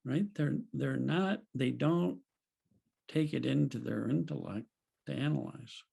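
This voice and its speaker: A man leading a group video call as a spiritual teacher, speaking in a gentle, unhurried way and laughing softly now and then. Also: mid-range voice, creaky, breathy edge. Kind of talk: reflective teaching talk.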